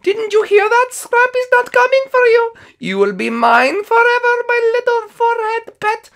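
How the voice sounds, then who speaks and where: Cartoon villain queen, tyrannical and gloating, very high, theatrical. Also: sing-song, moderate pace, a bit bright, exaggerated voice.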